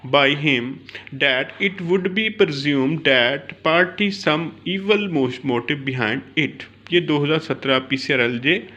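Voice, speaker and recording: A man with a Pakistani accent giving an explanatory law lecture, mixing in English terms, in a halting, repetitive way with filler phrases. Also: a little high, bright, forceful tone.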